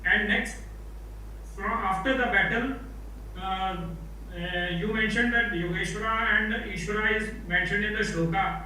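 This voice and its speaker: A man with a high, bright voice asks a question in class, halting as he looks for words. He sounds far from the mic in a very echoey room. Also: fairly even intonation. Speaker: student asking a question. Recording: very echoey, distant, faint noise.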